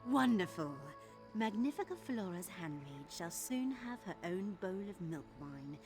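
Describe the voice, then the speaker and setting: Video game role: sardonic, disgruntled palace servant, expressive range, music underneath. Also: female voice, lowish voice, measured pace. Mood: sly, conspiratorial. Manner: grumbling, sardonic. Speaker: game character actor. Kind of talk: video game character acting. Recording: music behind the voice.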